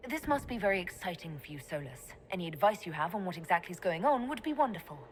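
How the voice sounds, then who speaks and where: An actress playing a sharp, pragmatic elf mage leader in a video game, dry and direct. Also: female voice, on the low side, very animated, quick pace, slight background noise.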